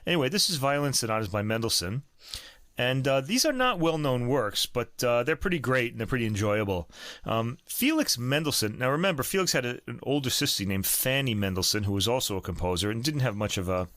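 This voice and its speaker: A man's voice: medium pitch, expressive, fairly quick, a bit bright, dry recording, clean studio sound. A man hosting a podcast, chatting casually about classical recordings, rambling with many fillers and restarts. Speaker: podcast host.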